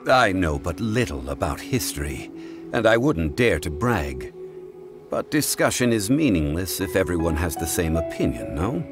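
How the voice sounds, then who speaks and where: A polite scholarly gentleman in a game, deep voice, measured n formal, faint music under. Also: big pitch swings. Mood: composed. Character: calm, courteous, erudite gentleman, quietly self-assured. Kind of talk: video game character acting.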